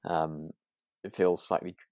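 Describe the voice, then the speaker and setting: A woman co-hosting a relaxed recap chat in a tentative, reflective way. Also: rumbling low, a little slow, no room sound, clean studio sound. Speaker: podcast co-host.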